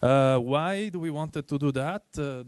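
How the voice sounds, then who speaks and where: A man with an Italian accent presenting at a tech meetup, speaking earnestly and haltingly with many fillers. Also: a little high, clean tone, no room sound.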